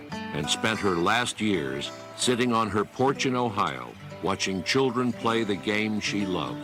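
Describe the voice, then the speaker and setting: Male documentary narrator, lowish voice, scripted historical storytelling, clear guitar music underneath.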